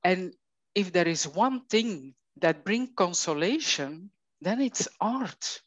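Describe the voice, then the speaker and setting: A middle-aged Belgian woman with a Flemish accent, speaking English as a coach in a conversational interview, slow and thoughtful with frequent fillers. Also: fairly low pitch, expressive, no room sound. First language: Dutch.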